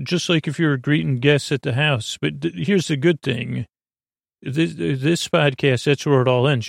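Creaky-voiced man rambling at bedtime. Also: medium pitch, no echo, studio quality. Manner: meandering, halting, trails off and repeats himself; gently goofy, self-deprecating. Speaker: podcast host. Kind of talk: rambling bedtime monologue for falling asleep.

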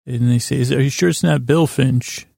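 Guy doing a rambly bedtime podcast, super creaky fry in his voice, keeps trailing off, really dry close sound. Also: mid-range voice, a little mellow, dry recording. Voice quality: heavily creaky, gravelly voice. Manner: meandering, halting, self-interrupting, trails off. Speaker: sleep podcast host.

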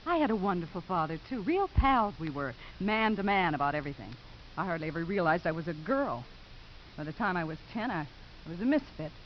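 An actress playing a guarded, wounded American probation officer in a 1950s radio drama, earnest and indignant. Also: female voice, lowish voice, very animated, fairly quick. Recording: dull, hissy old radio recording.

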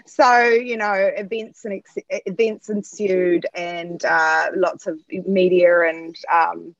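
A woman's voice: on the low side, steady tone, takes it slowly, dry recording, lo-fi sound. A woman from New Zealand with a Kiwi accent, chatting as a podcast guest about her art work, with lots of fillers and restarts. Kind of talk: casual interview chat.

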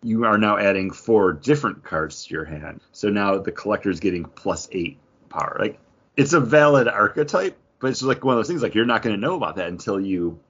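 A man's voice: lowish voice, lively intonation, fairly quick, fairly bright tone, slightly rough, highs cut off. A man co-hosting a casual gaming chat, explaining things in detail with plenty of slang. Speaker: podcast co-host.

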